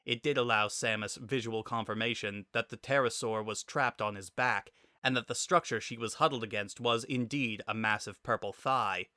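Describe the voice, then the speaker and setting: A man narrating a scripted story in a dramatic, expressive and deliberate way. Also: fairly low pitch, no room sound, clean studio sound.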